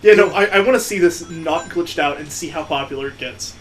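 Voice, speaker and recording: A man's voice: a little high, brisk, slight background noise, poor audio. A man chatting casually and sarcastically as he plays and comments on a video game. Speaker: gaming video co-host playing the game. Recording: game music behind the voice. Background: video game music.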